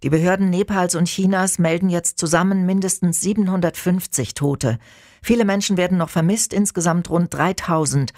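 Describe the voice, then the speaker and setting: A woman's voice: fairly low pitch, moderate pace, darkish tone, no echo. A woman reading scripted radio news as an anchor, close to a studio microphone.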